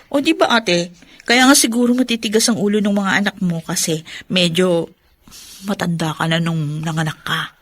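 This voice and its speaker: An actress playing an eager, playful, strong-willed young bride in a radio drama, with a chatty, teasing delivery. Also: female voice, fairly low pitch, normal speed, natural voice. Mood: eager, whining. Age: young adult.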